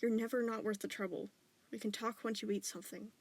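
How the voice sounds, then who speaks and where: A woman's voice: on the high side, steady tone, quick pace. A woman voicing a worried, guilt-stricken middle-aged father who stammers through anxious apologies. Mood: gently coaxing.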